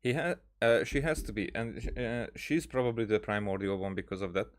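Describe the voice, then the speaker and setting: A man giving casual game commentary, thinking aloud in a halting, rambling way. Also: lowish voice. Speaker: gaming streamer.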